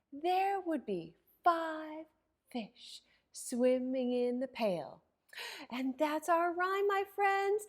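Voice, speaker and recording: A woman's voice: extremely high pitch, fairly bright tone. A woman hosting a children's story time, speaking in a singsong, encouraging way with simple words and an exaggerated, dramatic delivery. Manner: simple, encouraging talk aimed at young children.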